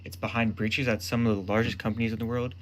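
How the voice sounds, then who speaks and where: A man with a fairly low voice narrates a scripted explainer as its presenter. He speaks in short, emphatic phrases. There is some noise behind his voice. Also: level tone, fast.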